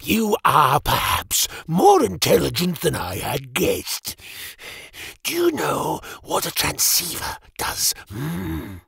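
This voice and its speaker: A man voicing a greedy, volatile mage with a metal arm and a glowing eye, in a raspy, breathy voice that hisses threats and breaks into frantic shouts. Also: fairly high pitch, huge pitch swings, slow pace, no room sound, studio quality, voice pushed up, heavily acted. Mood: hissing threat. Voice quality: raspy, breathy whisper sliding into shrieks. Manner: theatrical, snarling, swings from hissing threat to frantic shouting. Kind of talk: audiobook character voice.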